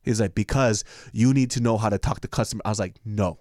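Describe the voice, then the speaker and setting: A man co-hosting a podcast, telling stories in a casual, profane and animated way with plenty of laughing. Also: fairly low pitch, quick pace, no room sound, clean studio sound. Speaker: podcast co-host. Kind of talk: casual chat.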